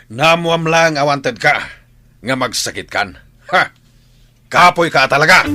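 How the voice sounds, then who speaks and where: A man acting a gruff, scheming bandit boss, brash and jumpy, in an Ilocano comedy radio drama, with an Ilocano accent. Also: on the low side. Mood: bossy, impatient.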